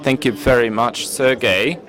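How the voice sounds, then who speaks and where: A man from Turkey whose first language is Turkish, giving a formal, measured panel presentation at a conference and sometimes searching for words. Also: mid-range voice, fairly bright tone. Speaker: panel speaker presenting his city. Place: conference hall.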